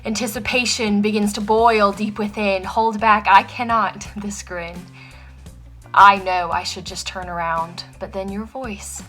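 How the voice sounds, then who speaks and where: A woman with a medium-pitched voice reads verse aloud. She is dramatic, pushing some phrases out and hushing others. Faint music sits behind her. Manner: dramatic, swinging between emphatic and hushed. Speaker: poetry reader. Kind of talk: expressive poetry reading.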